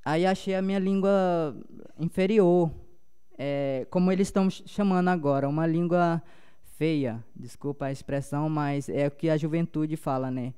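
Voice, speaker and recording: Halting young man with a Paumari accent. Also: fairly high pitch, warm tone, clean tone. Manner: halting, many restarts and fillers. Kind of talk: spontaneous panel talk.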